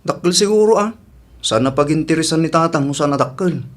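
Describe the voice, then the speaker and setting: A man's voice: fairly high pitch, expressive, fairly quick, natural voice. A man playing a brash, impatient grown son in a radio drama, insistent and dismissive, with an Ilocano accent. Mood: resentful. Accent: Filipino (Ilocano).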